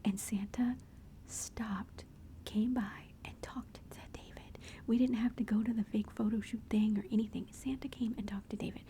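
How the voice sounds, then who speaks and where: A woman's voice: fairly high pitch, fairly even intonation, moderate pace, dark tone, slight background noise. A woman whispering throughout as she shows family photos and gushes fondly over her kids. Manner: gushing, affectionate, chatty. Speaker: mother showing family photos. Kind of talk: whispered ASMR photo show-and-tell.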